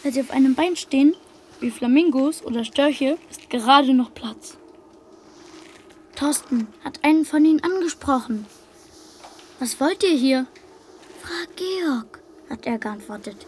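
A woman playing a solemn young child narrator in a radio drama, hushed and matter-of-fact. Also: sits high, a little slow, long pauses, slight background noise. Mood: hushed, earnest.

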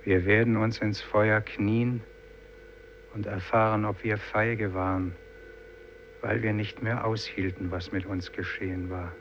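A solemn, doomed fisherman in an old German radio play. His voice is low and flat as he declaims a litany. The recording is muffled with some hiss. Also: measured pace. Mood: solemn, resolute. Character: doomed, solemn male fisherman chanting a vow. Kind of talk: 1950s German radio drama acting.

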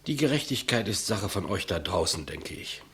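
Very husky, humble executioner. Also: male voice, low-pitched, sing-song, normal speed, a bit bright, heavily acted. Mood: quiet, patient. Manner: subdued, deferential, plain. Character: humble, plodding, matter-of-fact male state executioner. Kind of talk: 1950s German radio play acting.

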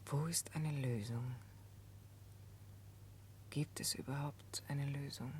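An actress in a 1960s German radio drama playing an earnest, compassionate confidante, speaking gently and deliberately. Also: female voice, very deep voice, fairly even intonation. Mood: quiet, searching. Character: earnest, compassionate woman, a calm moral confidante. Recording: noisy 1960s radio recording.

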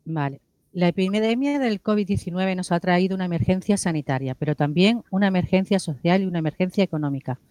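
A man's voice: high voice, darkish tone, no room sound. A man co-hosting a radio programme, introducing segments and putting questions to guests. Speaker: radio co-host.

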